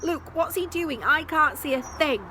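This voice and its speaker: A woman's voice: high-pitched, a little slow, background noise, voice pushed up. A woman voicing Malcolm, a grumpy bearded tiny man in a storybook reading.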